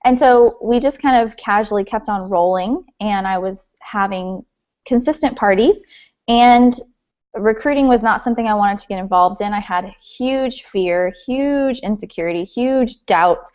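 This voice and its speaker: Woman, late twenties, guest speaker, friendly, chatty, mid-pitched, muffled video call audio. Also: measured pace, a little mellow. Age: 20s. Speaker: guest speaker, direct sales leader. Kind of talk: informal training talk.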